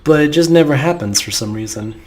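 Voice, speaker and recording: High-voiced man rambling over a game. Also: lively intonation, brisk, a little mellow, slight background noise. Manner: rambling, nitpicking. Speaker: gameplay commentator. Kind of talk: casual let's play commentary. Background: game music.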